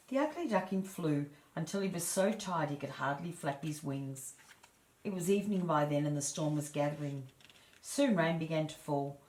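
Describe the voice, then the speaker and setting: Female storyteller, low register, measured and expressive children's read-aloud, roomy echo on the recording. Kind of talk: reading a children's fairy tale aloud. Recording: echoey room.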